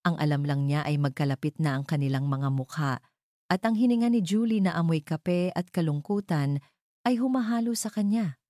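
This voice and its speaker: Low, mellow woman narrating a love drama. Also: animated, normal speed, no echo, studio quality. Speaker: storyteller. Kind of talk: dramatic scripted narration of a Tagalog love story.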